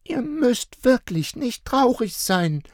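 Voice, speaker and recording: A man reading a storybook, voicing a stubborn, earnest little girl in a high childlike voice. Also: sing-song, slow, no echo, clean studio sound, full character voice.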